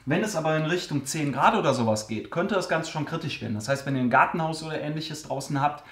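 Man, car care host, slightly high voice, chatty and joking, lots of fillers, echoey basement. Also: animated, fast talker, a bit bright. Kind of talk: casual Q&A answering viewer questions. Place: basement storage room.

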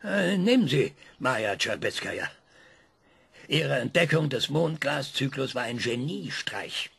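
An actor playing a proud, scornful male scientist in a radio play, lecturing with dramatic emphasis and hushed whispers. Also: medium pitch, expressive, a little slow, vocal fry, husky, no echo, exaggerated voice. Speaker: scientist being interviewed.

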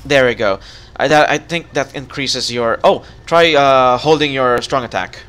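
A man's voice: medium pitch, normal speed, a bit bright, smooth. A man chatting casually while playing a game, coaching his co-op partner step by step. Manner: instructive, coaching. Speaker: gamer guiding a co-op partner. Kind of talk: casual gameplay chat.

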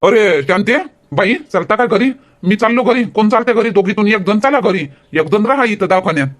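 A man's voice: high voice, lively intonation, a little fast. A man voicing a grumbling village farmer and family man in a cartoon comedy, with a rural Vidarbha Marathi accent. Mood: brisk.

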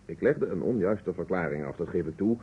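Husky, sardonic male suspect. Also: low voice, lively intonation, a little fast, exaggerated voice. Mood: dryly defensive. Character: defensive, indignant man being questioned, candid and sardonic. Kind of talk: vintage Dutch radio drama acting. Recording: muffled, narrow-band old radio recording.

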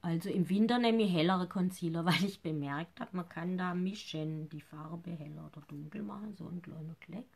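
Husky, chatty woman doing live makeup. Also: on the low side, normal speed, few pauses, a little mellow. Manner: chatty, rambling, slightly nervous, often trails off into mumbles. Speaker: makeup seller and live-stream host. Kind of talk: casual live makeup tutorial. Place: living room at home.

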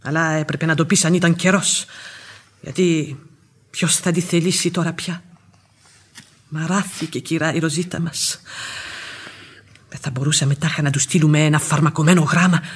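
An actress playing a tough, fiercely loyal old nursemaid in a radio play, earthy, outspoken and emphatic, with a husky voice. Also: female voice, sits low, fairly even intonation, long pauses. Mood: bitter, vengeful. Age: older.

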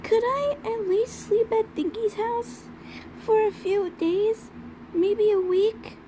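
A sweet, small cartoon unicorn filly acted by a woman, extremely high-voiced. She pleads in a slow whimper over clear background noise. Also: mellow, low-quality recording. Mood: tearful pleading. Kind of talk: fan comic dub acting.

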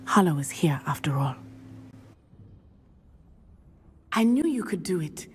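A young woman voicing a brave, determined schoolgirl witch in a video game, speaking in a formal, measured way and often dropping to a hush. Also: fairly low pitch, big pitch swings, a little hiss or hum. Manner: measured, formal, confident speech, often hushed. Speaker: game character.

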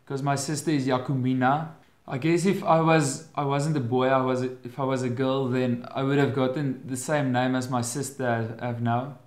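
A young man in his twenties speaks with an Afrikaans accent, chatting casually to a webcam at his desk. He talks hesitantly, often restarting his phrases. His mid-pitched voice bounces around an echoey room. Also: little pitch movement, moderate pace. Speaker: vlogger. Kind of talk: casual Q&A vlog. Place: bedroom at home, indoors.

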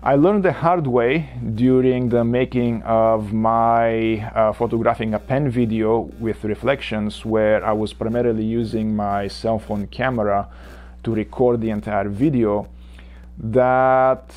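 Bulgarian guy in his 40s explaining mic gear real slow, lots of uhs, in his workshop. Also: fairly low pitch, steady tone, darkish tone. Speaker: woodshop channel host. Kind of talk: unboxing and gear demo. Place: cluttered home workshop.

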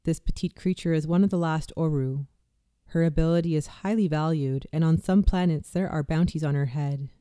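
A meditation guide narrating a guided visualization in a slow, soft, soothing delivery with hushed moments. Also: medium pitch, clean tone, no room sound, clean studio sound.

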